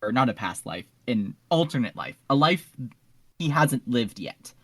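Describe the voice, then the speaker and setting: A man's voice: medium pitch, big pitch swings, unhurried, a bit bright, no room sound. A man talking casually in a podcast discussion as one of the panelists.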